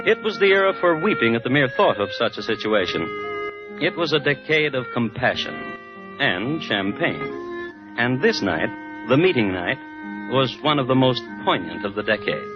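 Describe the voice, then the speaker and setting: An actor playing a wry, literary storyteller, the host narrating a 1950s radio crime drama in a measured manner. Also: male voice, medium pitch, big pitch swings, lightly acted. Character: wry male storyteller recounting old true crimes. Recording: dull old radio recording with music.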